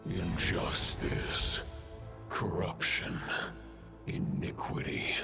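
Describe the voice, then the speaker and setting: A man playing an ancient, menacing being in a video game, speaking solemnly and accusingly with a gravelly voice. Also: deep voice, monotone, very slow, full character voice. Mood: grim contempt. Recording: loud music behind.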